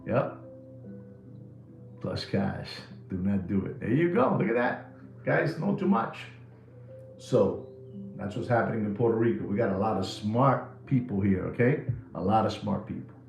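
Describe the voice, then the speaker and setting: A man's voice: fairly low pitch, unhurried, creaky, long pauses, echoey room, soft background music, poor audio. A man, hosting a live watch podcast in a rambling, jokey way full of slang. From New York City. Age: 60s. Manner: animated, jokey storytelling with slang.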